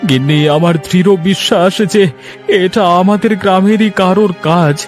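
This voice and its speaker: A man's voice: high voice, moderate pace, soft background music, lightly acted. A man playing an anxious, wronged village fish merchant in a cartoon, with a pleading, plaintive manner. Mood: troubled. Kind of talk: Bengali cartoon story acting.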